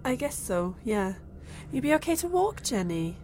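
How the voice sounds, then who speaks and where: An actress playing Claire, a curious young woman abducted onto an alien ship, calm and reassuring to others. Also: a little high, moderate pace. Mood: calm, steadying. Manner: reassuring, then eagerly curious. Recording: faint music score underneath.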